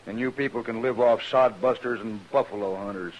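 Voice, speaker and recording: An actor playing a gruff, aggrieved Texas trail boss in an old-time radio western, blunt and challenging, with folksy cowboy grammar. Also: male voice, medium pitch, fairly even intonation, a little fast, natural voice. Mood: defiant. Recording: dull, hissy old radio recording.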